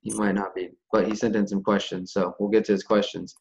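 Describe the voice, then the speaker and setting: A man's voice: lowish voice, flat delivery, brisk, vocal fry, darkish tone. An American man hosting a group conference call, explaining things informally and step by step in a conversational way. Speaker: host of a community organizing conference call. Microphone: conference call audio. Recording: dull, lo-fi conference call audio.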